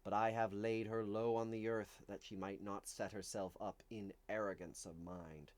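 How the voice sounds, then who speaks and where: A man's voice: lowish voice, level tone. Solemn man giving a dramatic reading. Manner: solemn, declamatory, deliberate phrasing with dramatic emphasis. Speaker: reader of a religious text. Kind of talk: dramatic reading of medieval visionary scripture.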